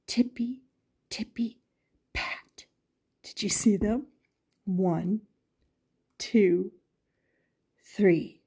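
An older woman reading a picture book aloud to young children as a grandmother would, expressive and emphatic, repeating phrases and asking questions. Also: high-pitched.

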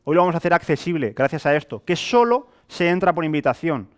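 A young man presents to a live audience in a Spain Spanish accent. His voice sits a little high for a man. He talks colloquially and animatedly, throwing out rhetorical questions and everyday examples as he sells. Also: lively intonation, fast. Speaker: sales presenter. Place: hotel meeting room with a small audience, indoors.

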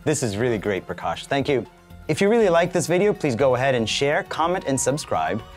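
A man's voice: medium pitch, sing-song, brisk, soft background music. A man in his forties hosting a scripted tech segment, asking questions in an upbeat way. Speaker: show host. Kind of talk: scripted studio banter. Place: studio set with map backdrop.

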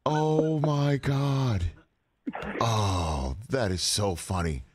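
A man's voice: medium pitch, extremely slow, no room sound. An American man in his fifties hosting a casual talk show interview in a jovial, amused way at a close studio mic. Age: 50s. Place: broadcast studio. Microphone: close studio microphone on the desk.

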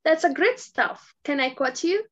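A woman's voice: high voice, expressive, measured pace. A young woman playing a polite, admiring interviewer in an amateur dramatic reading, with a deferential manner. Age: young adult. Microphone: video call. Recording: dull video-call sound.

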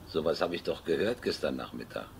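An actor playing a menacing, sneering crook who mocks and threatens in a crime radio drama. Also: male voice, a bass voice, animated, a little fast, vocal fry, slight background noise, heavily acted. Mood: mocking. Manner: mocking, threatening. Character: menacing, sneering male crook bullying his former lover.

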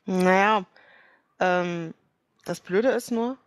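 A woman's voice: lowish voice, extremely slow, dry recording. A woman improvising casual in-character dialogue as a tabletop role-player, thinking aloud hesitantly.

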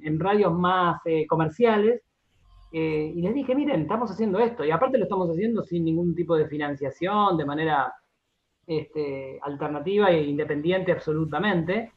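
An Argentine man being interviewed on radio, explaining in a halting, conversational way and often repeating his words. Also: a little high, phone-quality sound. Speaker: interview guest, radio drama director and writer.

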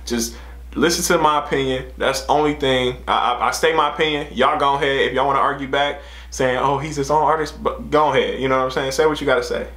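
A man's voice: mid-range voice, fairly even intonation, fast, a bit bright, reverberant. A man in his twenties with an American accent chatting casually as a reaction host, slangy and often repeating his phrases. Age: 20s. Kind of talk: casual music reaction chat. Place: small room indoors.

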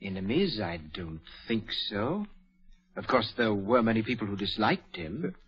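An actor playing a courteous, proper valet in a 1940s radio drama. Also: male voice, on the low side, very animated, a little slow. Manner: courteous, formal. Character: polite male valet, discreet and proper. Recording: dull lo-fi vintage radio broadcast.

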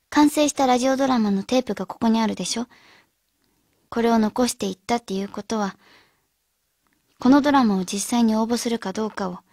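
Radio drama role: thoughtful schoolgirl leader, gentle, fairly high, soft-edged, dry studio sound. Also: no echo. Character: thoughtful, caring high school girl leading her friends.

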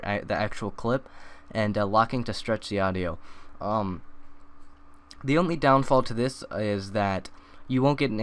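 A young man talking through a software tutorial in a loose, rambling way, full of ums and uhs. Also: lowish voice, clean tone. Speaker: tutorial maker. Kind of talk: casual screen-recorded tutorial.

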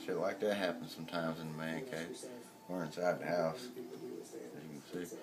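A drunk young man joking and rambling rowdily while filming a late-night party in a small home bar room, swinging between shouts and mumbles. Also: sits low, flat delivery, normal speed, over loud music. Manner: rowdy, slurring, joking, often shouting or mumbling.

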